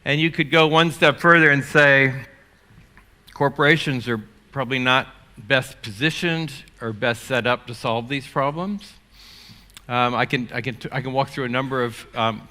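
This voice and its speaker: Older New man, keynote speaker, forceful bright tone, loose and chatty with lots of restarts. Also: medium pitch. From United States. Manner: energetic, rambling with many fillers and restarts. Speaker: conference speaker. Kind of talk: keynote talk. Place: conference stage.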